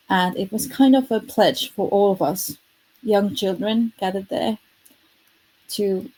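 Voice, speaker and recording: A woman presents her work live online. Her voice is warm and slightly husky. She is earnest and often stops to correct herself. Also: mid-range voice, low-quality recording. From Estonia. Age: 30s. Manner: earnest, self-correcting, lightly self-deprecating. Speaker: futurist presenting her product.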